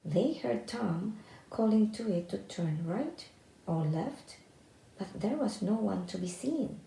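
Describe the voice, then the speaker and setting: Slow, gravelly woman reading a children's story. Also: mid-range voice, animated, mellow, roomy sound, slight background noise, poor audio. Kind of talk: scripted children's story narration, read aloud.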